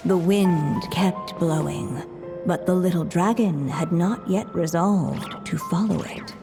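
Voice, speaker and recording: Slow, low storybook narrator. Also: female voice. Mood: grave, wistful. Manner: measured, grave, poetic. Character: solemn, mysterious female storybook narrator. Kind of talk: scripted fairy-tale narration in a video game. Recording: long hall reverb, music behind.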